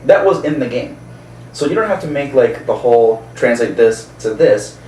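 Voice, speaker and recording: A man's voice: mid-range voice, expressive, moderate pace, a little mellow. A man co-hosting a casual chat, rambling a little and searching for words as he explains his opinion. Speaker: podcast co-host. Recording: roomy, distant mic, background noise.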